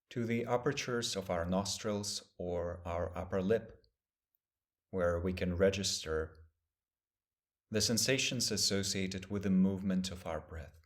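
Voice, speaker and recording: Male meditation guide, low register. Gentle, measured instructional delivery with spacious pauses. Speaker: meditation teacher.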